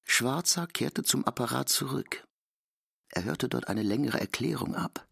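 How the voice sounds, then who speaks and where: A man's voice: medium pitch, lively intonation, unhurried, creaky, a bit bright, husky, dry recording. A man giving scripted literary narration as a narrator, recorded close in a studio.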